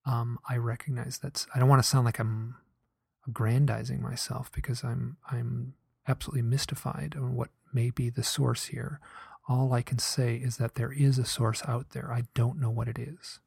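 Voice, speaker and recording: Brief: earnest soft-spoken man, gravelly husky tone, dry close sound, musing monologue. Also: medium pitch, flat delivery, moderate pace, no echo. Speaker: podcaster recounting a hypnosis session. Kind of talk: reflective personal monologue.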